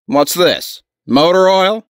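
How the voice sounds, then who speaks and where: An actor playing a picky husband with an exaggerated comic delivery in a vintage radio advertisement. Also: male voice, high voice, animated, slow pace, no echo, studio quality. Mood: grumbling, disgusted. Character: picky, grumbling husband in an old radio commercial.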